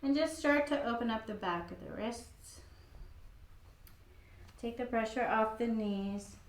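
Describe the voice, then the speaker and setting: A woman with a croaky voice guiding a gentle yoga class in an old studio, cueing calmly with light laughs. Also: on the high side, measured pace, reverberant. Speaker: yoga instructor.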